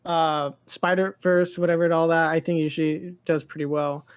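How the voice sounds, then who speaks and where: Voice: medium pitch, flat delivery, normal speed, clean tone. A podcast co-host chatting casually about pop culture, rambling and often repeating phrases.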